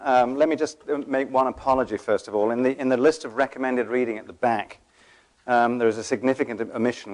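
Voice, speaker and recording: A man moderating a conference session, introducing the next speaker in a halting way with frequent fillers. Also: on the low side. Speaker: panel moderator.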